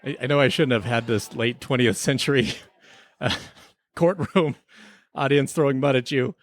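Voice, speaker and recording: A man of medium pitch hosts a comic podcast as a mock investigator. He speaks in a theatrical, mock-stern way, recorded dry and close. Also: moderate pace, no echo. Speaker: podcast host. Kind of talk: comic role-play as investigator.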